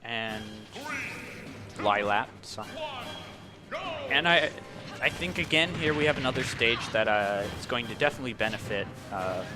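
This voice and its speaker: A man co-commentating a video game match casually, with hesitant, halting phrasing and an agreeable way of talking. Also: medium pitch, over music. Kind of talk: casual live gaming commentary.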